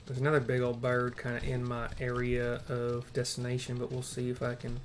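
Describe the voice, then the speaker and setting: A man's voice: mid-range voice, monotone, brisk. Offhand male gamer over keyboard noise. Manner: offhand, playful muttering to himself. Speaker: gamer, video host. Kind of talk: casual gameplay commentary.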